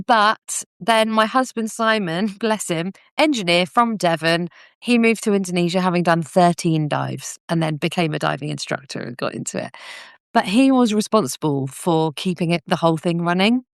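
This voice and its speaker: A woman's voice: lowish voice, moderate pace, smooth, no room sound, clean studio sound. A British woman telling her story casually as a podcast guest. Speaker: podcast guest, business owner.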